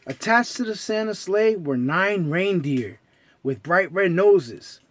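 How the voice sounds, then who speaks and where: A man reading a story aloud to children in an animated, theatrical storytelling style. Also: high-pitched, takes it slowly, no echo.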